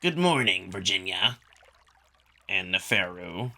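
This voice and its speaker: A man's voice: low-pitched, very animated, takes it slowly, creaky, bright, forceful tone, pitched below the performer's own voice, full character voice. A man voicing a haughty, irritable alien wolf prince in a visual novel, curt and dismissive. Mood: irritated, dismissive.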